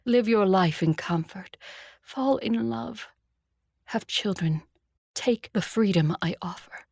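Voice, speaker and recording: A woman playing an ancient, proud vampire commander in an audio drama, measured, haughty and coolly mocking. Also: mid-range voice, creaky, darkish tone, slightly rough, no echo, clean studio sound, exaggerated voice. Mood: scornful, pleading.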